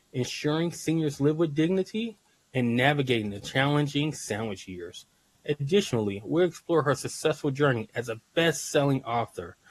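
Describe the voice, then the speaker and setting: Male host, medium pitch, dry close recording; smooth read, casual chat. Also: dry recording, poor audio. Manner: reads intro smoothly, then stumbles and repeats words. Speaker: podcast host, nurse. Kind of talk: scripted intro then casual interview.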